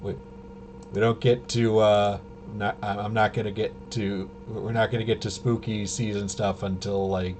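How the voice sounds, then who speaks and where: A man hosting a livestream with casual, unscripted commentary, talking in a halting, rambling way and often trailing off mid-sentence. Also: on the low side, little pitch movement, darkish tone, faint music behind. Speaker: livestream host. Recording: noisy, with clear background hum.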